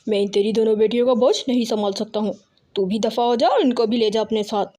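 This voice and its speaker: A woman's voice: on the high side, fast, dark tone, lightly acted. A woman voicing a cruel male bird in a cartoon, a husband speaking with harsh contempt. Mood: cruel anger. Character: cruel, heartless bird husband rejecting daughters.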